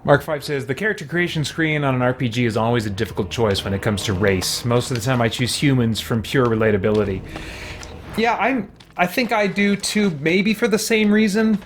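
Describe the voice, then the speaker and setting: A man's voice: mid-range voice, brisk, slight background noise. A man hosting a gaming video with casual commentary, thinking aloud as he talks to himself.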